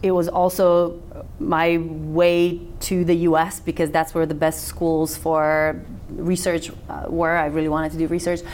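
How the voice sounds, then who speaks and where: A woman's voice: deep voice, slight background noise. A woman in her thirties who grew up in Macedonia and speaks Macedonian as her first language, a sex researcher chatting easily and animatedly as a podcast guest. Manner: chatty and animated, quick laughs. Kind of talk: casual interview conversation.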